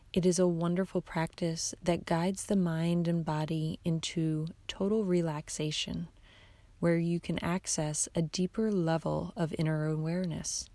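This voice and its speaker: Female yoga nidra guide: lowish, soft, soothing delivery, hushed and unhurried, in a very dry close recording. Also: dry recording. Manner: soothing, hushed, unhurried instruction with long pauses. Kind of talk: guided meditation narration.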